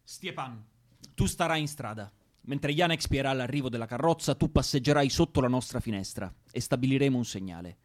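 Actor as male underground cell leader, authoritative, stern, theatrical radio drama, very lively pitch. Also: a little fast, natural voice. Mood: firm. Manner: authoritative, declamatory.

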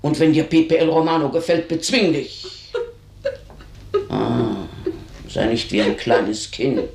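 An actress in a German radio drama playing a blunt, knowing old housemaid who admonishes insistently. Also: female voice, a bass voice, steady tone, slow, vocal fry, a little mellow, heavily acted. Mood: urgent, persuasive. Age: older. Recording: roomy, echoing radio drama recording.

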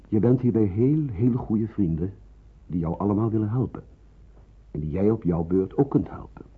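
An actor playing a kindly, grave Protestant pastor in a radio play, speaking in a measured, solemn, reassuring way. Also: male voice, low voice, husky, exaggerated voice. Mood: reassuring, fatherly. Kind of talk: Dutch radio drama acting. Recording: muffled, phone-like old radio recording.

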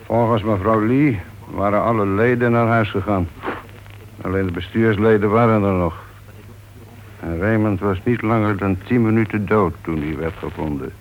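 An actor plays a calm, methodical detective inspector in a radio drama. His voice is on the low side and paternal, asking patient questions. The recording is dull and faintly noisy. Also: moderate pace, close to an everyday voice. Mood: measured, musing. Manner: paternal, probing, murmured acknowledgements. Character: calm, methodical, soothing male police inspector. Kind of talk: Dutch radio play acting.

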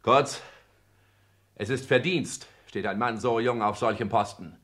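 A man's voice: medium pitch, unhurried, fairly bright tone, close to an everyday voice. An actor playing a pompous director in his thirties in a 1960s German radio drama, insistent and probing. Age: 30s. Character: self-important 36-year-old company director questioning his bride.

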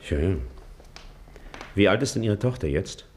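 An actor playing an affable, educated and outspoken doctor in a German radio play, with an exclamatory way of talking. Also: male voice, low-pitched, lively intonation, a little slow, darkish tone, slight background noise. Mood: amiable, curious. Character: genial, educated gentleman doctor, outspoken and easygoing.

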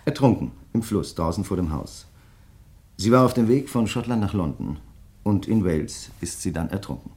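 An actor as a courteous crime writer in a radio play. His voice sits on the low side, and he speaks with measured, deliberate politeness, quietly pressing his point as he probes a fellow writer. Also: lively intonation, lightly acted. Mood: grave, intent. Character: courteous, insistent male crime novelist probing a fellow writer. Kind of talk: German radio play acting.

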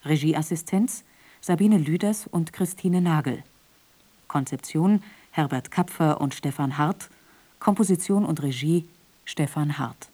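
A woman's voice: low-pitched, measured pace, warm tone. A woman reading credits as a radio announcer in a formal, scripted style.

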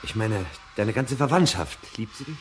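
A brooding husband in a radio play acted by a man, grave and searching. His low voice moves widely in pitch, with music clearly behind it. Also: animated, normal speed, close to an everyday voice. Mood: probing. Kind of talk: German radio drama acting.

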